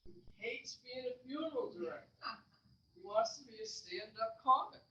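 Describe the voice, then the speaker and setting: A woman's voice: high-pitched, sing-song, normal speed, vocal fry, sharp tone, slight background noise. A woman playwright speaks from across an echoey room, her voice slightly muffled. She chats casually and lets her stories wander from one detail to the next. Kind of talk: casual Q&A chat after a reading. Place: library event room. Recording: echoey library room, distant muffled mic.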